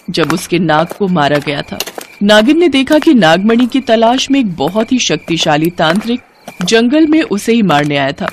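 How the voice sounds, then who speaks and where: A woman's voice: medium pitch, background noise. A woman storyteller giving a dramatic scripted narration of a story.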